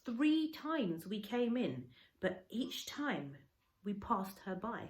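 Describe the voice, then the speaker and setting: A woman teacher reading a children's storybook aloud with an expressive, dramatic storytelling delivery. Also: fairly high pitch, takes it slowly, reverberant, low-quality recording. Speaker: schoolteacher.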